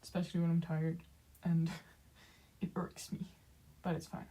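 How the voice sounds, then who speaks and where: A young woman with a light Dutch accent speaks in a low, mellow voice, chatting with viewers while she draws. She takes her time, with an even, unhurried delivery full of little pauses and fillers. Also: a little hiss or hum. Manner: soft-spoken, halting, many fillers and small laughs. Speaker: streamer. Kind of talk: casual chat while drawing on stream.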